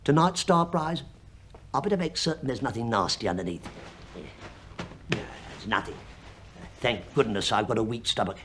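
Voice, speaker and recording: A man's voice: medium pitch, normal speed, long pauses, fairly bright tone, slight background noise, lightly acted. An actor playing a breezy, dryly joking male investigator with a British accent in a radio play. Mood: wry, intrigued. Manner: dry joking, casual. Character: wry, breezy male investigator bluffing his way in.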